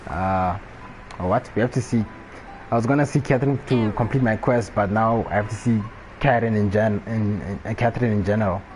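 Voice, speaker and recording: A man's voice: on the low side, brisk, darkish tone, low-quality recording. A man from Botswana with a Botswana English accent, chatting casually and laughing often while live-streaming a game. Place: home room during a rainstorm. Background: faint rain and ambient noise.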